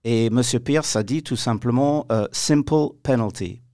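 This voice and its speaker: A man's voice: medium pitch, unhurried, mellow, no echo. Male sports pundit, dry studio sound. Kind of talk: radio sports commentary discussion.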